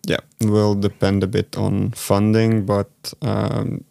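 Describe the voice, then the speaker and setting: A young man from Flanders with a Flemish accent, Dutch native, answering interview questions in a low-key, hesitant way. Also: monotone, takes it slowly, creaky, dark tone, dry recording. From Belgium (Flanders). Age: young adult. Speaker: guest researcher.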